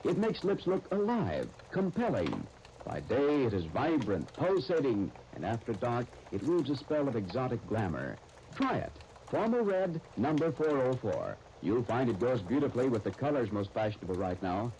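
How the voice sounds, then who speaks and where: An American man announcing and narrating from a script in polished, deliberate 1930s radio style. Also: medium pitch, huge pitch swings, dark tone. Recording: noisy 1930s radio broadcast recording.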